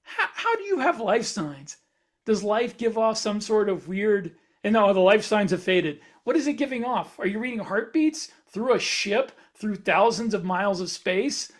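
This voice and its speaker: A man's voice: sits high, very animated, normal speed. A man co-hosting a podcast, chatting in a casual, joking, conversational way. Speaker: podcast co-host, fiction author. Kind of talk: casual panel chat.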